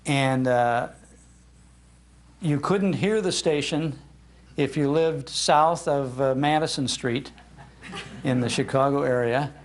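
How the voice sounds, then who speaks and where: A man's voice: mid-range voice, takes it slowly, pauses often. An older man, a radio host, giving an informal live talk in a chatty, anecdotal way into an event microphone. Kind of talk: informal public talk, storytelling. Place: speaking live in a public library room.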